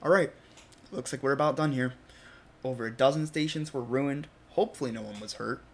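A man's voice: medium pitch, fairly quick, natural voice. A man playing a resolute saboteur recording a grave, declamatory final message for an amateur audio drama. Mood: resolute, regretful.

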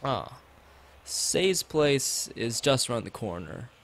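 A man's voice: mid-range voice, very animated, takes it slowly, gravelly, heavily acted. A man reading the part of an enthusiastic older male art teacher from a visual novel, in a hearty, emphatic manner. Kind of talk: visual novel dialogue read aloud.

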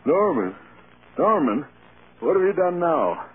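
Radio play sleepy, fed-up studio boss, dragging pace, tinny vintage broadcast. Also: male voice, fairly high pitch, sing-song, unhurried. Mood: weary, exasperated. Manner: drowsy, exasperated, mumbling. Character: groggy, weary film producer woken at night. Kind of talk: 1930s-40s radio drama acting.

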